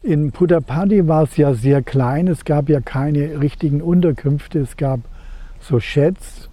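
A man in his seventies from Germany, recalling his life in an interview in a measured, reflective way, searching for words. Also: fairly high pitch, dark tone. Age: 70s. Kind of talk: reflective personal recollection in an interview.

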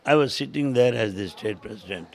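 An older man with an Indian accent and Telugu as his first language, answering interview questions at a measured pace with small pauses and fillers. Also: medium pitch, animated, gravelly. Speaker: interviewee, translator.

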